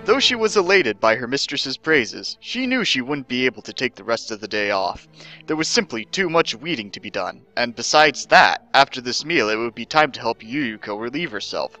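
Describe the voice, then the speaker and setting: A man narrates a story in a bright, forceful voice over music. His delivery is theatrical and mock-serious, like a formal dramatic reading. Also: mid-range voice, very animated, moderate pace, poor audio. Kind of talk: scripted dramatic reading of fan fiction.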